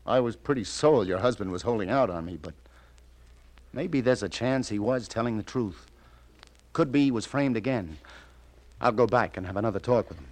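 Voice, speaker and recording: An actor playing a hard-boiled New York police detective in a 1950s radio crime drama, laconic, folksy and probing. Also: male voice, fairly low pitch, animated, normal speed, pauses often, a little mellow, no echo, close to an everyday voice. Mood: dry, probing.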